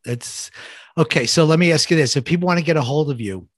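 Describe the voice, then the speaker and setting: A man hosting a conversational interview, effusive and halting as he rephrases his questions. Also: on the high side, no echo, studio quality. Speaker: show host.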